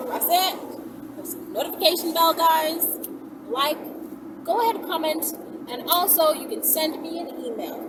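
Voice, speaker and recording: A woman vlog host telling stories to her viewers in a casual, chatty, animated and exclamatory way. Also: high voice. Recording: distant mic with loud vehicle noise.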